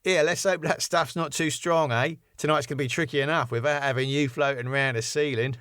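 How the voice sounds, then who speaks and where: A cheeky comic lad, performed by a man reading a sitcom script, talking fast and breezily. The voice sits above a middle pitch and bluffs along in loose, colloquial phrases. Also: steady tone, fairly bright tone, no room sound, natural voice. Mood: breezy, cheeky. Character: Dave, cheeky glib quick-thinking British lad.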